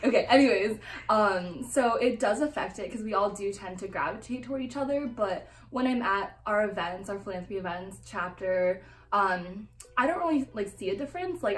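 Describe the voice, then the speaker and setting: A nineteen-year-old woman answering interview questions in an informal way, gently qualifying what she says. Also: medium pitch. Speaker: college student, sorority member. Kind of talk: casual personal interview answers. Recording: echoey, distant mic, music underneath.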